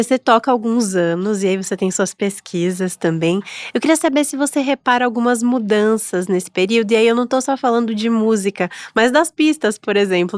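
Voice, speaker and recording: A woman's voice: medium pitch, dry recording, clean studio sound. A woman hosting a podcast, asking questions in an easygoing, chatty way. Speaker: podcast host. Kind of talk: casual interviewing. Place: studio.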